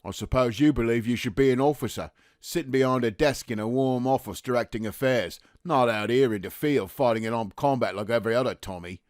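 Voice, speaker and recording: An actor playing a resentful working-class teenage soldier in an audio drama, with a Cockney accent and a blunt, mocking manner. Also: male voice, medium pitch, a little fast, few pauses, darkish tone, dry recording, studio quality, natural voice. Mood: scornful.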